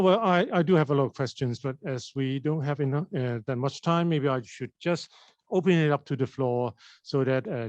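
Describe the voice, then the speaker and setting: A man's voice: mid-range voice, a little mellow, dull audio. A man moderating a film festival Q&A, hesitant, with many fillers and stammered starts. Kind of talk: hosting a festival Q&A. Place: cinema hall.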